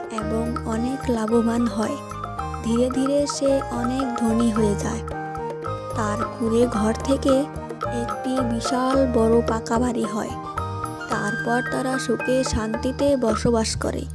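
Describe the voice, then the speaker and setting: A woman narrates a children's cartoon tale in a slightly raised voice. She takes her time with each phrase. Music plays clearly behind her. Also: a little high. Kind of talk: scripted children's story narration.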